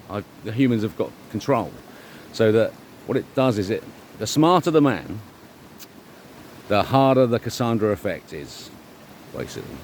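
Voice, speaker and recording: Low-voiced man over background noise. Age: middle-aged. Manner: emphatic, halting with repeated phrases, self-assured. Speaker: vlogger. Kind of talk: rambling informal monologue to the viewer.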